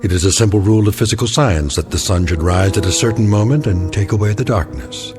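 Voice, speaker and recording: A man giving measured, ominous scripted narration for a radio drama. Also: fairly low pitch. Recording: narration over music.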